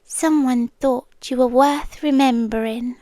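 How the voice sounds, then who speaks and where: A young British woman performing a scripted ASMR girlfriend roleplay in a soft, whispery, intimate way, close to the mic. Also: high-pitched, fairly even intonation, a little slow, no echo.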